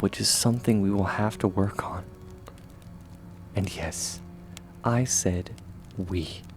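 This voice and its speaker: A man playing a gentle, steadfast young swordsman mentor in a comfort ASMR roleplay, speaking softly and reassuringly, at times close to a whisper. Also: lowish voice. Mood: earnest reassurance. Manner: soft, reassuring, measured, often near whisper.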